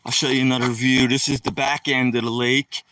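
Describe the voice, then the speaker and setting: A man's voice: medium pitch, little pitch movement, fairly quick, bright, forceful tone, dry recording, recorded from a distance, low-quality recording. Rambling Philadelphia man on a phone stream. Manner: rambling, talks to viewers directly. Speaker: live streamer. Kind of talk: casual live stream chat. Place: outdoors by a rural lake. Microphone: phone held while walking.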